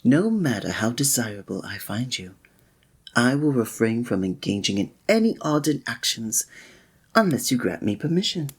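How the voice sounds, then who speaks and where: A man's voice: mid-range voice, sing-song, moderate pace, husky. A man playing a flirtatious, courteous innkeeper in a fantasy village, speaking formally in a scripted ASMR roleplay addressed to the listener. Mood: courteous, warm. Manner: formal, old-fashioned, teasing, suave diction.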